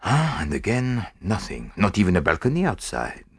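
A man playing a courteous, shrewd gentleman detective in a storybook reading, polite and measured. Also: low voice, huge pitch swings, husky, no echo, studio quality, heavily acted. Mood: inquiring, unruffled.

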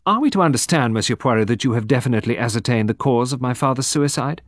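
Man as young woman, higher pitched, animated, brisk, defiant, indignant, mystery audiobook. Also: no echo, studio quality. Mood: sharp, impatient.

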